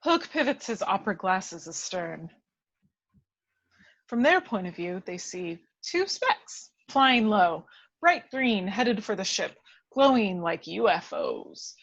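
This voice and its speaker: A woman reading action descriptions as scripted narration at a table read, with measured, dramatic storytelling. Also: medium pitch, sing-song, a bit bright.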